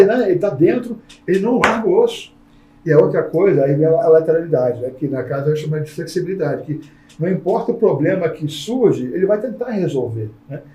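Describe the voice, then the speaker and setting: A man hosting a casual podcast conversation, a lively storyteller who acts out the people in his anecdotes. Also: on the high side. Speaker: podcast host. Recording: roomy sound from a distant mic.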